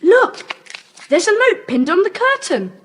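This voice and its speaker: A woman playing a keen, excitable young schoolboy in a children's audio adventure. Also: very high voice, unhurried, heavily acted. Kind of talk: children's audiobook drama acting. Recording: faintly hissy cassette tape.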